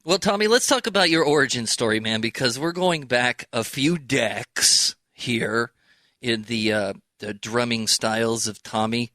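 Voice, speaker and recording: A man's voice: medium pitch, a little slow, pushed and bright, dry recording, studio quality. A man hosting a casual radio-style interview, introducing and questioning a guest. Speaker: show host.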